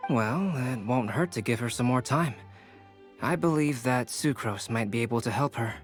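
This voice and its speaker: A man voicing a calm, courteous young alchemist and teacher in a video game, polite and inquisitive. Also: mid-range voice, normal speed, close to an everyday voice. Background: game music.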